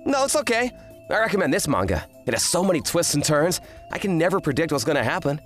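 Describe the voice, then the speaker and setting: A woman voicing an earnest, kind teenage boy, an excitable manga fan, in an anime-style romantic comedy dub. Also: deep voice, fast, over music. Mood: friendly, eager. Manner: earnest, exclamatory.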